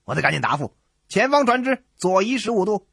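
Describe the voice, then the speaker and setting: A man's voice: high voice, very animated, a little fast, vocal fry, fairly bright tone, husky, no room sound, studio quality, pitched above the performer's own voice, full character voice. A man voicing a defiant, indignant lighthouse signaller in a blustering, incredulous manner for a radio comedy sketch.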